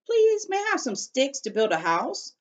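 A small, hairy young javelina from a picture book, shouting his defiance in a raised, brighter voice, as a woman reading aloud puts him on. The sound is slightly muffled and lo-fi. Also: high-pitched, huge pitch swings, normal speed, voice pushed up. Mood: defiant. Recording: slightly dull lo-fi recording.

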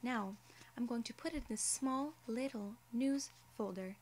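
A young woman playing a polite, clinical alien inspector in an ASMR role-play, soft-spoken, formal and soothing. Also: on the high side, unhurried, a little hiss or hum, close to an everyday voice. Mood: polite, gentle. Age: young adult. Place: small indoor room, seated.

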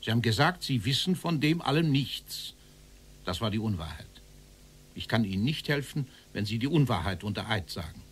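An actor playing a stern prosecutor in a German documentary radio play, questioning in an insistent, accusing, formal manner. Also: male voice, mid-range voice, animated, moderate pace, a little hiss or hum, close to an everyday voice. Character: stern, relentless male prosecutor interrogating a Nazi official.

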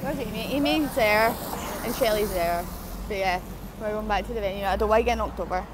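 A woman's voice: medium pitch, a little fast. Rambling young woman vlogging on a phone. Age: young adult. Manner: rambling, filler-heavy, offhand. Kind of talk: casual vlog chat. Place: busy street and cafe outside a concert venue. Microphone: phone at arm's length. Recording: loud music and crowd noise.

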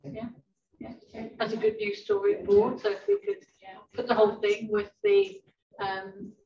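A woman's voice: mid-range voice, little pitch movement, normal speed, warm tone. A woman chairing a committee meeting, upbeat as she wraps up business. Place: large meeting room. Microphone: far room microphone. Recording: echoey room from far off, dull.

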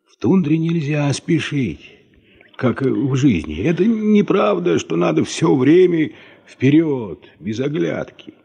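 An actor playing a wise, kindly old tundra herder grandfather in a radio drama, speaking in halting, folksy, philosophical phrases. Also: on the high side, very animated, vocal fry, dark tone, husky, heavily acted. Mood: earnest, admonishing. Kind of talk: Soviet radio drama acting. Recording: muffled 1970s radio play recording.

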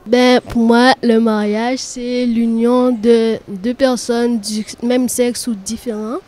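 A teenage schoolgirl answering interview questions on a handheld mic, halting and searching for words. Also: fairly high pitch, little pitch movement, darkish tone. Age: teens.

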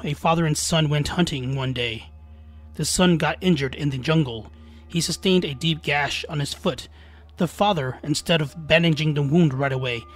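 A man narrating a scripted scary folktale as a storyteller, with dramatic, suspenseful delivery. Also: fairly high pitch. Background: background music.

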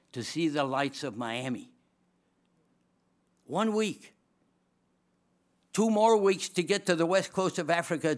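An older man with a Swedish accent preaching at a lectern microphone in a large auditorium, telling his story with humor and frequent laughter. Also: on the high side. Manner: lively, humorous, laughs often, gestures broadly. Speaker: chapel speaker, former dean. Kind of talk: storytelling chapel sermon.

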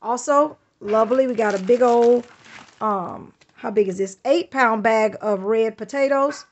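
A woman's voice: on the high side, measured pace, highs cut off. A woman, chatting thankfully as she shows off groceries in her home kitchen, filmed on a handheld phone. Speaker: vlogger. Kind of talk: casual home vlog. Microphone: handheld phone camera.

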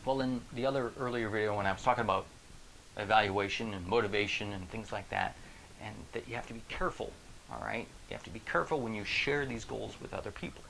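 A middle-aged American man speaks like a keynote motivator, casual and emphatic, tossing out questions to the listener. His voice has a gravelly creak, with a faint bed of room noise behind it. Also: on the low side, rough recording. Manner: animated, conversational, emphatic, rhetorical questions. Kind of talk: casual motivational video talk to camera. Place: small indoor room. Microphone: lapel.

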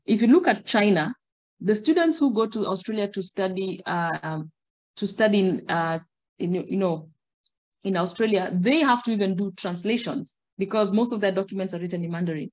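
A woman's voice: mid-range voice, moderate pace, darkish tone. Kenyan woman explaining on a call. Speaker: guest, migration agent. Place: indoor room. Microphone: video call.

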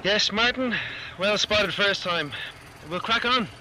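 A man's voice: sits high, slow pace, sharp tone, heavily acted. A piercing male boss on radio. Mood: businesslike. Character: male building-site boss calling on two-way radio. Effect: two-way radio. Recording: noisy walkie-talkie with site ambience.

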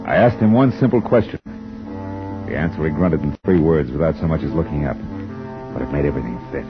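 An actor playing a wry, hard-boiled private detective who narrates tersely in a 1950s radio drama, from a dull lo-fi broadcast. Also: male voice, extremely low pitch, fast talker, over music.

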